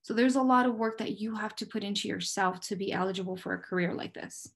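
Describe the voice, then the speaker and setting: Female, American accent, medium pitch. Candid interviewee in public safety work, conversational and explanatory. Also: fast. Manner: candid, explanatory, poses rhetorical questions and answers them. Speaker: police dispatcher, interview guest.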